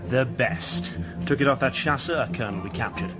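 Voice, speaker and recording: An actor playing a brash, hot-tempered young British army officer in a radio historical drama, blunt and prone to swearing. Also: male voice, medium pitch, normal speed, loud music behind the voice, natural voice. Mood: conspiratorial.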